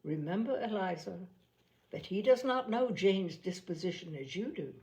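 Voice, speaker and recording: A woman voicing a worldly, pragmatic young Regency gentlewoman, opinionated and formal, in a novel reading. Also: medium pitch, expressive, lightly acted, poor audio. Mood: assured advising. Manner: opinionated, formal, measured phrasing.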